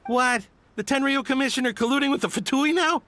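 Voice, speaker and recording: Nervous merchant, high-pitched, bright, stammering, evasive, video game. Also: male voice, a little fast. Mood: defensive. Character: nervous, shifty male merchant protesting his innocence.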